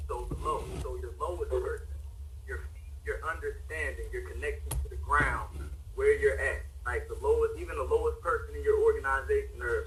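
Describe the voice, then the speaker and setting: A man's voice: medium pitch, a bit bright, rough recording. A man speaking as a guest in an unscripted discussion, thinking aloud in a philosophical way.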